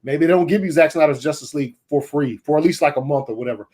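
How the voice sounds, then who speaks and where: A man's voice: fairly high pitch, fast talker, a little mellow. A man chatting casually on a streamed panel, emphatic, slangy and freely swearing.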